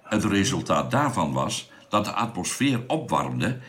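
A man playing a knowledgeable space crewman in a science fiction radio drama, speaking in a measured, explanatory way over background noise. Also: deep voice, very animated, a bit bright, full character voice.

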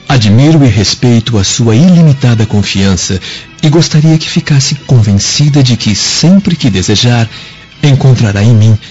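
A man's voice: medium pitch, a little mellow, close to an everyday voice. An actor playing a cynical, scheming man of ancient Rome in a radio drama, with formal, courtly, insinuating speech. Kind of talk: dramatic radio play acting. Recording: dull lo-fi audio with music behind.

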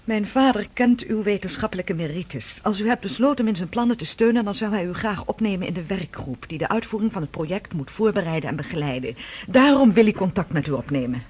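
An actress playing the earnest, formal adult daughter of a scientist in a radio drama, speaking persuasively. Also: female voice, medium pitch, lively intonation, quick pace, darkish tone, slightly rough. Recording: dull, lo-fi radio recording.

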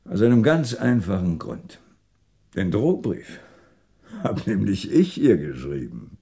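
An actor playing a shrewd, self-assured police commissioner with a wry, confident manner in a 1960s German radio crime drama. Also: male voice, lowish voice, sing-song, takes it slowly, gravelly, warm tone, exaggerated voice. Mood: wry, sly.

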